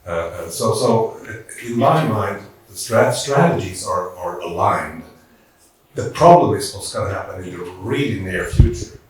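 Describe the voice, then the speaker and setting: Man, low voice, panel speaker, halting and thoughtful, distant mic, echoey hall. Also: lively intonation. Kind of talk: panel discussion. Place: conference hall.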